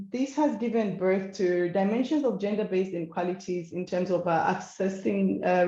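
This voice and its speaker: A Zambian-accented woman giving an educational slide presentation in a measured, hesitant way with frequent pauses. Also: mid-range voice, dark tone, reverberant, dull audio. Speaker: guest speaker, women's rights organization founder.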